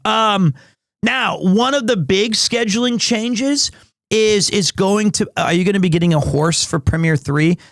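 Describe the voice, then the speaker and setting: Loud, high-voiced man streaming. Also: measured pace, dry recording, studio quality. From United States. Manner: loud, big voice, rambling with many restarts. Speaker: livestreamer. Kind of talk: casual chat with stream viewers. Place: home stream room in an apartment.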